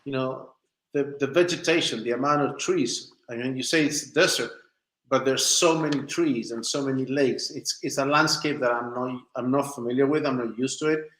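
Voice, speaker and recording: A man's voice: mid-range voice, moderate pace, a bit bright. Echoey man, searching for words. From Chile and southern Spain. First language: Spanish. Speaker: interview guest, documentary maker. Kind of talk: informal conversational interview answers. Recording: roomy, echoing sound.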